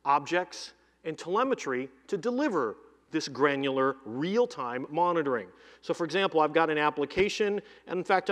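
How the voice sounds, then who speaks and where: A middle-aged man giving a live keynote demo on a conference stage, speaking into a headset mic and running through lists with energy. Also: a little high. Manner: energetic, lists items in quick runs. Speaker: keynote presenter demoing cloud software. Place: large conference hall stage. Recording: long hall reverb.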